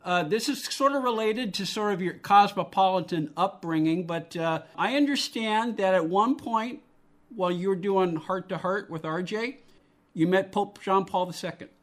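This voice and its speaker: A man's voice: high-pitched, moderate pace. A man hosting an interview show, asking questions hesitantly, with repeated fillers. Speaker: podcast host, interviewer.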